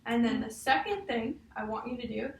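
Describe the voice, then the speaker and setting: A woman's voice: fairly high pitch, lively intonation, normal speed. A woman teacher talking through a picture book with a child, guiding and often checking for agreement. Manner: guiding, often ends statements with a checking "huh?". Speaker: teacher leading a read-aloud. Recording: roomy, distant mic, faint noise.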